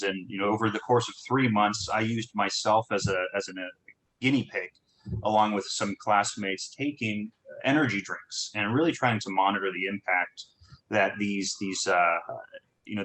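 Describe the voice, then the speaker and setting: A man from Southern California, a startup founder telling his background in a relaxed talk, with many restarts and fillers. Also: lowish voice, poor audio. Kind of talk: conversational talk on a group call.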